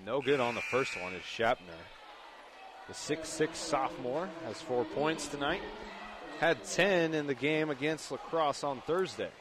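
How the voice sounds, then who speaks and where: A man's voice: fairly high pitch, expressive, measured pace, crowd noise. A young man doing live radio play-by-play commentary of a basketball game as the announcer. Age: young adult. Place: indoor high school basketball gym. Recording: clear gym noise behind commentary. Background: game noise, bouncing basketball.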